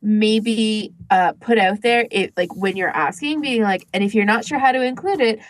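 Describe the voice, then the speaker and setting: A woman's voice: mid-range voice, normal speed, no room sound. Casual woman podcaster, close dry sound. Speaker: podcast co-host.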